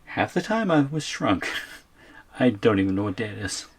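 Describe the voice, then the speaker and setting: Guy doing scared tired captive bf, lowish breathy husky voice, mutters and hesitates, audio roleplay. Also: big pitch swings, moderate pace. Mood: anxious. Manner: hesitant, muttering to himself, pleading. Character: frightened, weary captive boyfriend on the run.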